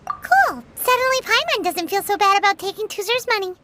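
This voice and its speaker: Game fairy sidekick: extremely high, squeaky, sassy and suspicious, swinging widely in pitch, over music. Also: female voice, big pitch swings, fairly quick, very noisy. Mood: sarcastic. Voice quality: squeaky, childlike. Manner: refers to herself by name, sassy. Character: tiny floating fairy companion, squeaky, cheeky, suspicious. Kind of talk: video game character acting. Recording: loud music behind the voice.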